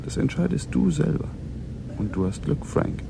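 An actor playing a composed, cold-blooded man who mentors in a measured, insistent, matter-of-fact way in a German radio drama. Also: a little high, very animated, vocal fry, noisy background, full character voice. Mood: cool, firm.

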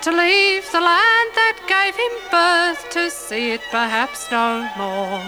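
Sorrowful female balladeer, high voice, slow lyrical delivery, radio drama, loud fiddle music. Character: sorrowful ballad singer of transported convicts.

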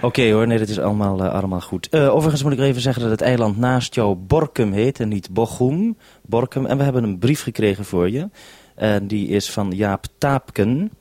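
A man hosting a radio link, speaking calmly and courteously in an orderly radio-call style. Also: lowish voice, a little fast, dry recording. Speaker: radio host on a two-way radio link. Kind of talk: radio call, saying "over".

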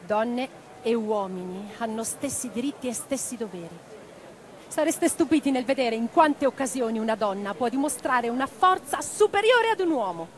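A woman's voice: a little high, lively intonation, normal speed, close to an everyday voice. An actress playing an impassioned revolutionary woman in a radio play, declaiming with theatrical intensity. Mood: impassioned, defiant. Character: impassioned revolutionary woman orator and letter-writer. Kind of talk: theatrical dramatic monologue. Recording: guitar music behind the voice. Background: plucked guitar music.

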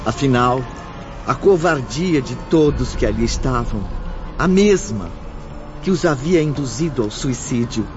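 Grave, slow male drama narrator. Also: medium pitch, expressive. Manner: grave and measured. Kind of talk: solemn radio drama narration. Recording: dull lo-fi with music.

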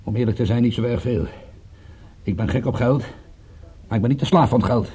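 An actor in a radio drama playing a wry, wary private detective with a dry, hesitant, understated manner. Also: male voice, mid-range voice, brisk, warm tone, slightly rough. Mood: hesitant, candid.

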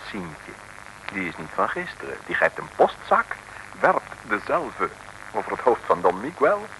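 A man's voice: lowish voice, wildly swooping pitch, measured pace, bright, forceful tone, exaggerated voice, rough recording. A man playing a wry, dramatic storyteller, narrating a mid-century comic radio serial. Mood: wry relish. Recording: hissy 1950s radio recording.

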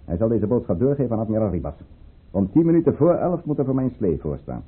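A man's voice: on the low side, very animated, fast, slightly rough. An actor playing a calculating, commanding statesman in a radio play, speaking formally and theatrically. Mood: brisk, commanding. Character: calculating, commanding statesman with secrets, in a troubled love affair. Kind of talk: Dutch radio play acting. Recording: muffled, phone-like old radio play recording.

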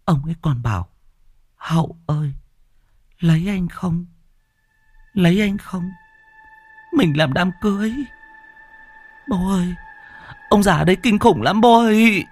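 A man's voice: measured pace, long pauses, slightly rough, faint music behind, full character voice. A man putting on a terrified young village woman. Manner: high, trembling, panicky pleading.

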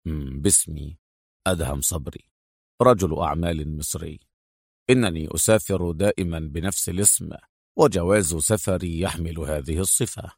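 A man's voice: low voice, normal speed, gravelly, husky, no room sound, pitched below the performer's own voice, heavily acted. A man voicing a stern, sardonic secret agent with a dry, cold manner in an audiobook. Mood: dry, reserved. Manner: dry, clipped, muttering through teeth. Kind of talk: acted audiobook dialogue.

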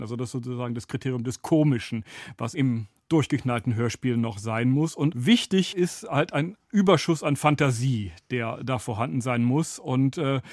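Male studio guest, expert explainer, mid pitch, articulate and analytical, dry acoustic. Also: no room sound. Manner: articulate, analytical, learned wording. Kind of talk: explanatory studio interview talk.

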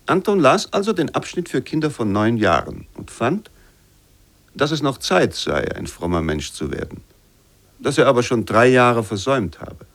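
A man narrating scripted literary prose for a radio play in a measured, formal manner. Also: medium pitch, big pitch swings.

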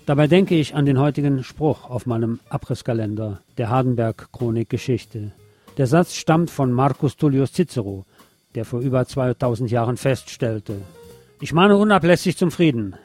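Mellow man hosting a radio show. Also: medium pitch, expressive, normal speed, soft background music. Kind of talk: scripted radio monologue.

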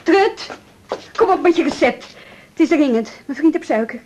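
A woman's voice: high-pitched, lively intonation, normal speed, a little mellow. An actress playing a pushy, curt, agitated woman in a radio drama. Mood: rude, impatient. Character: pushy, rude, agitated woman demanding a prescription. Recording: clearly noisy old radio drama recording.

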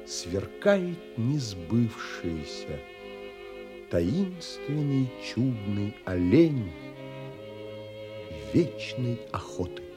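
An actor playing a wistful romantic man who narrates his longings in a measured, contemplative and expressive way, as in a literary audio play. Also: fairly low pitch, heavily acted. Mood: rapt, yearning.

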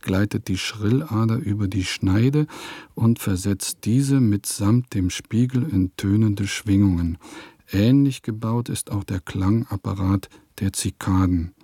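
An actor playing an earnest, pedantic and quietly proud composer, reading his diary in a measured, precise way for a radio play. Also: fairly low pitch, breathy edge, dry recording, clean studio sound.

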